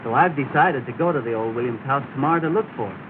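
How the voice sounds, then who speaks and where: An actor playing an earnest, polite young gentleman, respectful and sincere, in 1930s film style. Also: mid-range voice, fast talker, natural voice. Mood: earnest, hopeful. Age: young adult. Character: earnest, polite, affable young gentleman newly arrived north. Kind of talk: early 1930s film acting. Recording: noisy, tinny 1930 film soundtrack.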